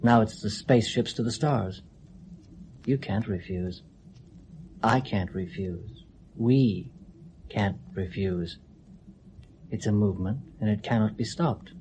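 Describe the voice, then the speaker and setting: A man's voice: on the low side, slow pace, pauses often, darkish tone. An actor playing a controlled, emotionally guarded male astronaut in an old radio play, on a noisy recording. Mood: detached. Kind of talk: 1970s radio drama acting.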